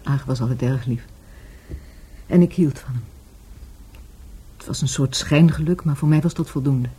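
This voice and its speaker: An actress playing a wistful, grieving lover in a radio play, hesitant and halting as she searches for words. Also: a bass voice, mellow, husky, heavily acted. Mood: wistful, searching. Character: grieving, wistful lover of a murdered market trader. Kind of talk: Dutch radio play acting.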